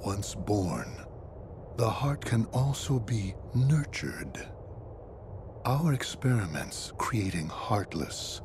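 A man's voice: fairly low pitch, noisy background, heavily acted. A male actor playing a grave, composed villain leader in a video game, formal and coldly philosophical in manner. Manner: formal, measured, solemn.

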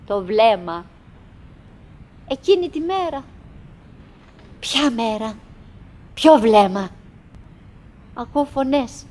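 An actress playing a wistful, chattering middle-aged wife in a theatrical radio monologue, in broken, repetitive phrases with dramatic pauses. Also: female voice, fairly high pitch, a little mellow, natural voice. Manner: fragmented, repetitive, dramatic pauses with sudden swells.

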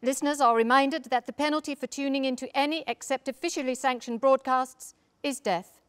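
A woman's voice: high voice, steady tone, fairly quick, a bit bright, clear, resonant tone. A woman playing a stern, formal 1940s radio news announcer for an occupying regime, in a live stage reading. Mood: stern, official.

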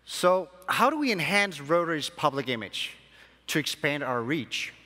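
A young man, born in Sri Lanka and raised in Denmark, giving a keynote speech to a convention audience from a large hall stage with measured, emphatic phrasing. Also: fairly high pitch, sing-song, sharp tone. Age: young adult. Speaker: conference speaker. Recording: long hall reverb.

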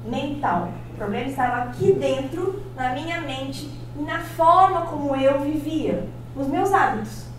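A Brazilian woman in her thirties with a Brazilian Portuguese accent, giving a personal-story motivational talk on stage, expressive and often checking in with the audience. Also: high voice, a little slow, distant mic, a little hiss or hum. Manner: expressive, addresses the audience with frequent tag questions. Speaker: motivational speaker. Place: large auditorium on stage. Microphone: handheld mic, filmed by far camera. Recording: echoey hall, from afar.

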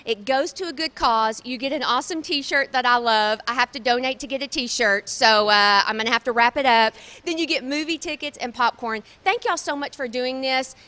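A woman's voice: high-pitched, pushed and bright. A woman field reporter, interviewing guests on a handheld mic in a large indoor mall space, bubbly and upbeat. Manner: bubbly, quick questions to guests.

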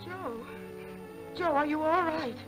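A woman's voice: high-pitched, slow. An actress playing an overwrought, loving soldier's mother in a 1940s film melodrama, tearful and overcome. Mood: tearful joy. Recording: old film soundtrack under loud music.

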